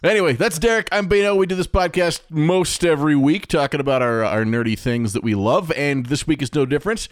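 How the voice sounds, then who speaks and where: A man's voice: on the high side, fairly quick, few pauses, dry recording, clean studio sound. A man co-hosting a podcast, talking casually in an informal, conversational manner. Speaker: podcast co-host.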